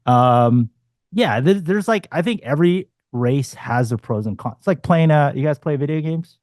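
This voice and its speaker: Dating coach, male, 40s, blunt and profane, mellow tone, dry close sound. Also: on the high side, lively intonation, moderate pace, no echo. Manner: blunt, swearing, punchy asides. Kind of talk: casual panel discussion.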